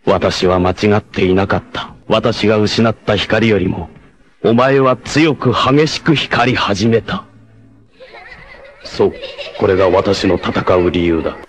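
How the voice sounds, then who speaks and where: A man's voice: fairly low pitch, slow, breathy edge. A man voicing a noble, self-sacrificing blind warrior leader in a 1980s anime, with a solemn, formal, declamatory delivery. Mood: serene, gentle. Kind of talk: 1980s anime martial-arts voice acting.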